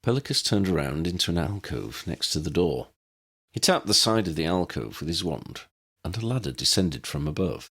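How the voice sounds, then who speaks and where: A man's voice: deep voice, big pitch swings, normal speed, fairly bright tone, husky. A man narrating a story as an audiobook reader, expressively reading scripted fiction.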